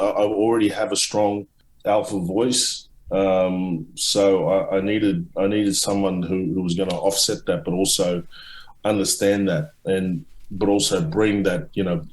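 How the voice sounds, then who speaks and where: A middle-aged man answering interview questions at length, halting, with many restarts and fillers. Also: low voice, a little mellow, echoey room, low-quality recording. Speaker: author and guest.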